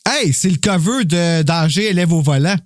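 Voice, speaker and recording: Higher-pitched Quebec man, dry close sound. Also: lively intonation, normal speed, no echo. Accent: Quebec French. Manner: colloquial, informal. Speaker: podcast host. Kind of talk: casual after-show chat.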